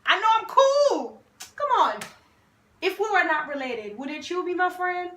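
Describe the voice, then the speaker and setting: Woman, 20s, from Haiti; high bright voice, slow delivery, playful and confident, roomy echo. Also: very animated. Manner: playful, self-assured, laughs often. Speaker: vlogger host. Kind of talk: casual sibling Q&A chat.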